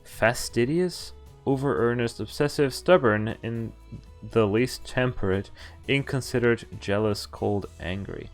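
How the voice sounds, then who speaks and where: A man's voice: mid-range voice, a little slow. A man streaming a visual novel, reading it aloud with casual, amused asides. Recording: background game music behind voice. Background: game music.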